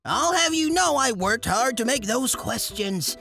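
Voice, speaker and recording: A woman's voice: on the high side, animated, measured pace, creaky, sharp tone, heavily acted. A woman voicing a quirky, playful chemistry teacher in an anime dub, lecturing over music. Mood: indignant.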